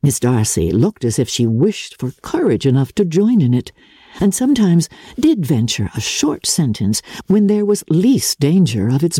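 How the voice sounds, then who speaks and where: A woman with a husky voice giving a measured, formal and expressive dramatic reading of classic literature as an audiobook narrator. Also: rumbling low, no room sound, clean studio sound.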